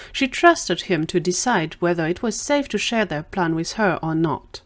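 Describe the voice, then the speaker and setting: A woman narrating a scripted fiction story for an audio drama. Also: lowish voice.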